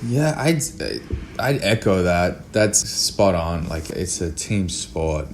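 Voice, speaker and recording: A man's voice: low-pitched, lively intonation, takes it slowly, a little hiss or hum. A man speaking as an interview guest in a casual, candid, informal and blunt way. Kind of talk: casual chat.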